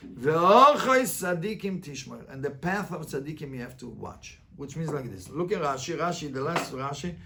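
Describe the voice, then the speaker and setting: A man teaching an interactive Talmud class as a rabbi, reading the text and translating it phrase by phrase with animated repetition and rhetorical questions. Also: on the high side, moderate pace, background noise.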